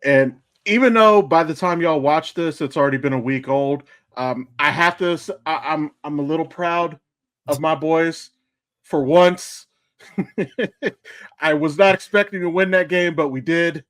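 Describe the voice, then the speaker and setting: A man's voice: on the high side, measured pace, a bit bright, no room sound. An American man co-hosting a podcast in casual, rambling, sweary chat. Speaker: podcast co-host and voice actor.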